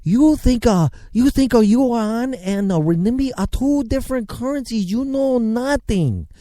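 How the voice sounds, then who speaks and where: A man's voice: extremely high pitch, lively intonation, mellow, no room sound, studio quality, voice pushed up. A man putting on a scornful know-it-all. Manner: slow, drawn-out, lecturing.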